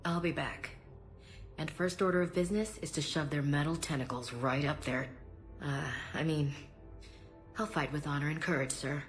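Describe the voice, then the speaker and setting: Low-voiced, candid soldier woman played by an actress. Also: fairly even intonation, normal speed, faint music behind, lightly acted. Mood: frank, determined. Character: female soldier officer, tough, candid, guarded. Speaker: voice actor in a video game. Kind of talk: dramatic video game dialogue.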